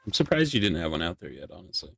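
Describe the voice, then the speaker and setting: A man's voice: sits low, very animated, very fast, vocal fry, pushed and bright. A man chatting casually and jokingly while playing a game with friends. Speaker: player in a Minecraft roleplay group. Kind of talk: casual gaming chat.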